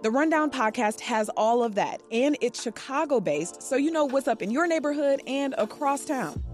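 This is a woman reading a podcast promo. Her voice sits a little high and moves up and down a lot. A faint music bed plays behind her. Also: normal speed. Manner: bright, lively promotional delivery.

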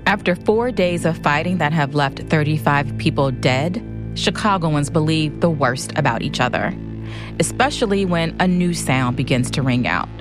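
A woman with a low voice delivers scripted dramatic documentary narration. Her phrasing is measured and grave, often clipped short, and loud music runs behind her. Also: lively intonation.

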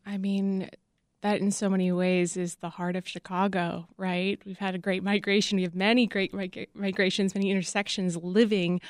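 A woman's voice: mid-range voice, flat delivery, normal speed, no room sound, studio quality. A woman answering interview questions as a guest, speaking casually and conversationally about her creative work. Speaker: interview guest, casting director.

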